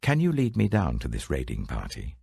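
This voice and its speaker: Man acting an insistent warrior leader, animated intonation. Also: a bass voice, a little fast, gravelly, breathy edge, no echo, full character voice. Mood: urgent, questioning. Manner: questioning, insistent. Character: proud young warrior leader, earnest and insistent.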